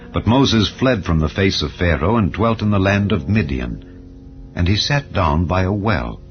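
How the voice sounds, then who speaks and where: Very deep-voiced man reading scripture dramatically. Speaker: audiobook narrator. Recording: slightly dull audio over music. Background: clear background music.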